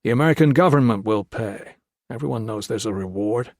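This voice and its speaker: A man's voice: on the low side, fairly quick, no room sound, clean studio sound, natural voice. A man voicing a shrewd, brash soldier-trader who talks in a blunt, matter-of-fact way.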